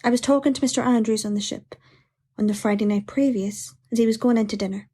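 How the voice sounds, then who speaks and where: An actress with a Belfast accent playing a middle-aged ship's stewardess who fondly recalls people in a letter. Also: female voice, fairly high pitch, steady tone, fast talker, dark tone, husky. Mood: fond, reminiscing. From North Belfast, Northern Ireland. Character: middle-aged Titanic stewardess, fond and admiring, recalling colleagues. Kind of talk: dramatic first-person letter reading.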